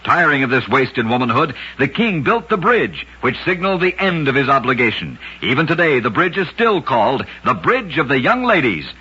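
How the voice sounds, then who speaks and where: A man hosting and narrating short scripted oddity stories on an old-time radio show, telling them briskly and emphatically with punchy endings. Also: medium pitch, sing-song, pushed and bright, slightly rough. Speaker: radio host and storyteller. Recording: lo-fi vintage radio recording.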